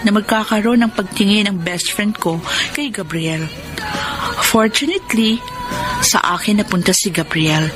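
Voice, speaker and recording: A young Filipino woman voiced by an actress tells her own love story as a radio drama confession. Her mid-pitched voice is earnest and resolute, with music clearly playing underneath. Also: animated, measured pace, natural voice. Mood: earnest, possessive. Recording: narration over music bed.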